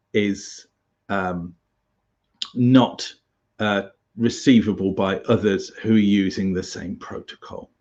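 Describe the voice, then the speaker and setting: A British man giving an informal tutorial as an instructor, halting and chatty with wry asides. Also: on the low side, darkish tone, muffled recording. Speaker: survival instructor, presenter.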